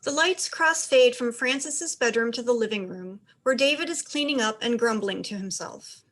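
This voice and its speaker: Higher-pitched woman narrating stage directions. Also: normal speed, fairly bright tone, slight background noise. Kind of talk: scripted narration.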